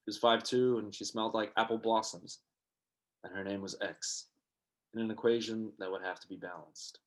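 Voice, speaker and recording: A man acting in a radio play as a weary, conflicted spaceship pilot, gruff but soft-hearted, with a hard-boiled delivery. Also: on the low side, steady tone, normal speed, close to an everyday voice. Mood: brooding narration. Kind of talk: radio play acting with first-person narration.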